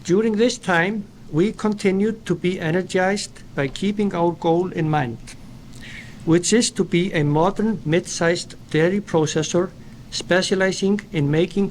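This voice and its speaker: A middle-aged man from Iceland with an Icelandic accent, a company founder reading a prepared speech in a formal, measured way at an indoor event. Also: high voice, darkish tone, slight background noise, low-quality recording. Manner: formal, measured delivery read from paper. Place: indoor event at a dairy plant.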